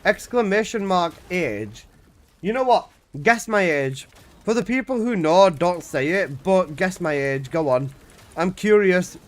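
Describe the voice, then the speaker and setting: Animated young man streaming. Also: high voice, unhurried. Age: 20s. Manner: animated, protests loudly, swears casually. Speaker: game streamer. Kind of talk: casual live-stream chat reading chat messages.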